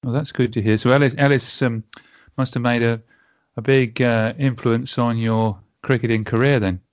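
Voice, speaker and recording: A man's voice: medium pitch, steady tone, normal speed, dry recording. A man hosting a podcast, asking short questions and giving brief encouraging prompts. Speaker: podcast host. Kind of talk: interview questions. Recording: dry, dull lo-fi sound.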